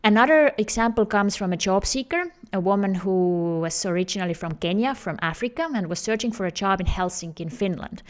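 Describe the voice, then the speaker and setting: A woman's voice: mid-range voice, expressive, fairly quick, hardly any pauses. A woman in her thirties with an Estonian accent, a job search coach talking straight to camera in an earnest, explanatory way. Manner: earnest, explanatory, with non-native phrasing. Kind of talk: direct-to-camera advice video.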